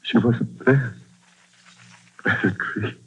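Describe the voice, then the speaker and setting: Halting, husky, grief-shattered father. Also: mid-range voice, a bit bright, exaggerated voice. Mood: dazed grief. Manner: halting, broken phrases, repeating himself. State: distraught, in shock. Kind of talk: 1940s radio drama acting. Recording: dull old radio drama recording.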